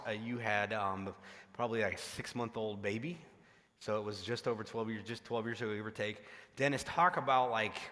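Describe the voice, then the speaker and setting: A man's voice: lowish voice, fairly bright tone. A man speaking as a pastor hosting a conversational interview, informal and a little meandering. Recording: reverberant large hall.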